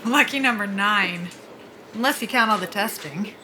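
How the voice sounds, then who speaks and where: A woman's voice: medium pitch, normal speed, sharp tone, slight background noise, lightly acted. A woman playing a self-mocking soldier and job candidate in an audio drama, joking and sardonic in a casual way. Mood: wry, nervous. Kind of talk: naturalistic audio drama dialogue.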